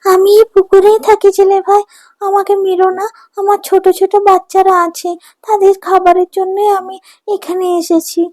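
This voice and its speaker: A woman voicing a small, helpless talking mother fish in a cartoon, imploring and gentle in her words. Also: very high-pitched, level tone, normal speed, no room sound. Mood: begging, frightened. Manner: imploring, repetitive begging. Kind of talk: cartoon dubbing. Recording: music under the voice.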